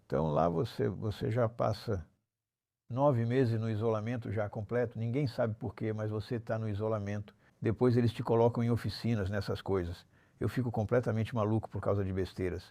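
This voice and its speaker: A man's voice: on the low side, steady tone, fairly quick, creaky, warm tone, dry recording, studio quality. A man in his seventies telling his life story as an interview guest, blunt and colloquial, often ending phrases with little tag questions. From Normandy, France. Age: 70s. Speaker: interview guest, former armed robber. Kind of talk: conversational life-story recounting.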